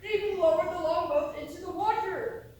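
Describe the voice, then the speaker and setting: Very high-pitched, anxious young emigrant played by a woman. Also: fairly quick, a little mellow. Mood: anxious, agitated. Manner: insistent, agitated. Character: suspicious, anxious young Irish emigrant, stubborn and imaginative. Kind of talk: stage play acting. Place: theatre stage, recorded from a distance. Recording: very echoey hall, distant mic, faint noise.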